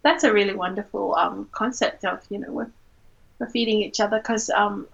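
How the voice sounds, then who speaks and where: A woman's voice: mid-range voice, fairly even intonation, moderate pace, vocal fry, a bit bright, muffled recording. A woman from Singapore, a poet and teacher, talking reflectively as an interview guest and searching for her words. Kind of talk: reflective literary interview talk.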